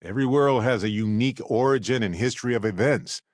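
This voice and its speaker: A man playing a wry, warm-hearted middle-aged space explorer in a video game, conversational with earnest asides. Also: medium pitch, fast talker, no echo, studio quality, close to an everyday voice. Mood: wondering. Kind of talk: acted video game companion dialogue.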